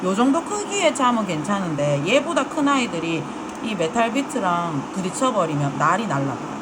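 A woman's voice: mid-range voice, big pitch swings, normal speed, background noise. A woman nail technician with a regional Korean dialect, explaining a drill demo in chatty, explanatory talk. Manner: chatty, explanatory, soft-spoken while working.

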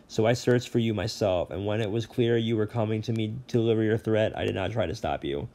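A man's voice: lowish voice, a little fast, dark tone, lightly acted. A man voicing a ranting god of the dead. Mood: menacing. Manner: bellowing, harried and threatening. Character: furious aggrieved male god of the dead. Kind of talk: audiobook reading.

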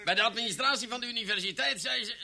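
High-voiced, grumpy investigator. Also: male voice, very fast, crowd noise. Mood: irritated. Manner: gruffly impatient, excitable. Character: grumpy, impatient, excitable male investigator. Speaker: actor in a radio play. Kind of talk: Dutch vintage radio play acting.

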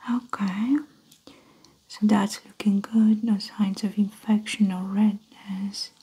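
A woman whispering softly and breathily in an ASMR medical exam roleplay, gently explaining each step without hurry as the doctor. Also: mid-range voice, flat delivery, slow, husky. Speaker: ASMR performer playing a doctor.

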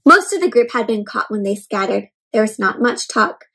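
A young woman reading a storybook aloud to children in an expressive, explanatory way. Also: mid-range voice, normal speed, vocal fry, husky, soft background music. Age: young adult. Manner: expressive, explanatory, asks the listener questions. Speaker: host of a children's read-aloud show. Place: indoor room at home.

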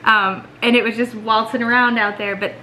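A woman's voice: on the high side, little pitch movement, normal speed, pushed and bright, a little hiss or hum, poor audio. A woman in her thirties chatting at home and telling a story. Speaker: vlog co-host. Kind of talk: casual chat. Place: living room at home, indoors.

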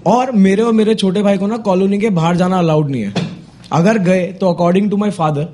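A man's voice: sits high, moderate pace, warm tone. A young man with an Indian accent doing conversational, exasperated stand-up storytelling into a handheld microphone, acting out dialogues as he goes. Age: young adult. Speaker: stand-up comedian. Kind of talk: stand-up comedy storytelling in casual Hinglish. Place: small indoor comedy club stage. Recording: noisy live club with audience laughter. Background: audience laughter and noise.

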